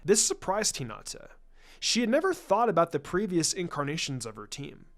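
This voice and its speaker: A woman's voice: fairly low pitch, very animated, moderate pace. A woman reading a story aloud in a scripted narration style. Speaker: fan fiction reader. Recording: background music under the voice. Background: music.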